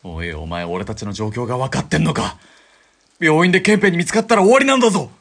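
A man's voice: on the high side, animated, fast, a bit bright. A man playing a hot-tempered army deserter who talks in a blunt, barking way. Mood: heated. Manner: blunt, barking, rough soldier talk. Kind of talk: amateur radio drama acting.

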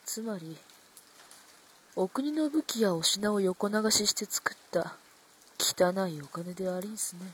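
A husky-voiced young courtesan, played by a woman, in a period radio drama. She speaks in antique pleasure-quarter style, proud and sharp-tongued. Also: mid-range voice, a little slow, long pauses, poor audio. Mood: coy, composed. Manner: old-fashioned courtesan speech.

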